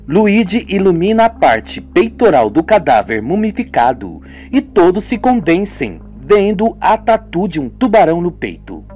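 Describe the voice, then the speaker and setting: A man's voice: high voice, big pitch swings, a little slow, hardly any pauses, darkish tone, faint music behind. A man narrating a homemade radio drama in a dramatic storytelling manner.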